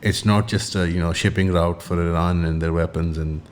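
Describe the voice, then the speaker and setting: Low-voiced man hosting a casual interview. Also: fairly even intonation, brisk. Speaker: podcast interview host. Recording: faint background noise.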